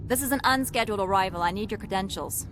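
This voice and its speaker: A woman's voice: mid-range voice, fast talker, lightly acted. A woman playing a stern, rule-bound security captain in a video game, curt and official. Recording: clear ambient noise behind.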